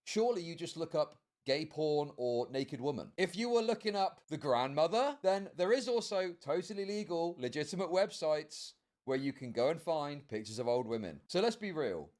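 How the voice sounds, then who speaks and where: A man narrating an online drama commentary video in a brisk, talky way. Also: on the high side, sing-song, darkish tone. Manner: brisk, talky, laughs at points.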